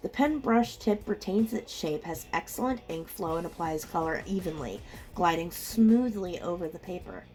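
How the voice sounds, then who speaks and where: A woman with a slightly rough, under-the-weather voice, giving a chatty, casual unboxing commentary as host of an art channel. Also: lowish voice, normal speed, a little mellow, soft background music. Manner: chatty, reacts aloud with small exclamations. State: rough voice from being ill.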